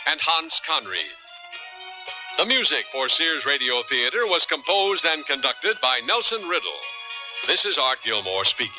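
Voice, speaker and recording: A man reading scripted credits as a 1970s radio announcer. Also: on the high side. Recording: dull vintage radio, music behind.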